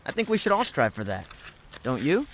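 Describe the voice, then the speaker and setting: A man's voice: medium pitch, huge pitch swings, fairly quick, slight background noise. A man playing an earnest, devoted young student in an audio drama. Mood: sincere, easygoing. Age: young adult.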